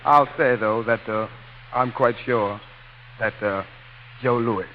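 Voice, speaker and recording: A man with an American accent giving halting answers in a 1930s radio interview. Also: medium pitch, phone-quality sound. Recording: noisy 1930s radio recording.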